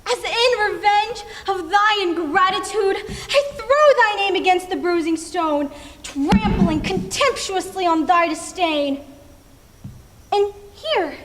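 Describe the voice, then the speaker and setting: A young woman performing a lovesick, remorseful Shakespearean heroine in a slow, dramatic stage monologue. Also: a bit bright, full character voice. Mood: anguished, angry, then tender, remorseful. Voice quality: high-pitched. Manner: slow, dramatic verse delivery. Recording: echoing hall, distant microphone.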